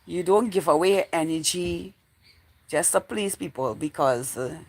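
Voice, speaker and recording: A woman's voice: fairly low pitch, a little slow, a little mellow, poor audio. A woman in her forties with a Trinidadian accent, chatting casually to viewers as a livestream host in a rambling, repetitive way. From Trinidad and Tobago. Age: 40s. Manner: rambling, repeats phrases while thinking aloud. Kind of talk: casual live chat with viewers.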